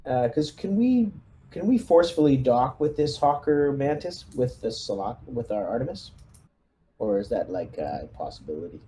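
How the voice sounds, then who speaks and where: A man playing a tabletop game as squad leader, talking casually and haltingly, often clearing his throat. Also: mid-range voice, very animated, mellow, a little hiss or hum. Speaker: player leading the squad.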